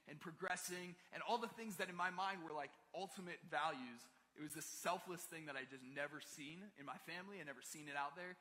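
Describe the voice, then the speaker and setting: A man from Maryland preaching a casual sermon, telling personal stories in a slangy, animated way and acting out quotes. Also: a little high. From Maryland, United States. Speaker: pastor. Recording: long reverb of a large hall.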